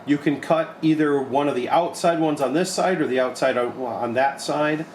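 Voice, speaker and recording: A man's voice: on the high side, normal speed. A young man giving an informal hands-on repair tutorial, explaining step by step in a plain-spoken way. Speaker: repair technician demonstrating a modification. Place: small cluttered electronics repair workshop, indoors. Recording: echoey room with clear background noise.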